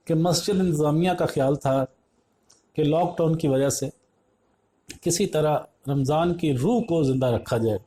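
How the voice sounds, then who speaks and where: Male news narrator, fairly high voice with a soft mellow tone, formal measured delivery.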